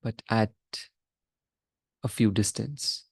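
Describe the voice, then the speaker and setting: A man leading a guided meditation as a teacher, giving measured instructions in short phrases with pauses between them. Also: fairly low pitch, gravelly, darkish tone, breathy edge, no room sound, studio quality.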